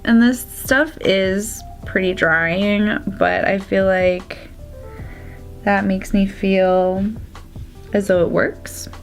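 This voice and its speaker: Slow-talking congested young woman vlogger. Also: medium pitch, steady tone, faint music behind. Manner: chatty, drops to soft whispers at times. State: congested, slightly raspy. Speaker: beauty vlogger. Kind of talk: casual makeup tutorial chat.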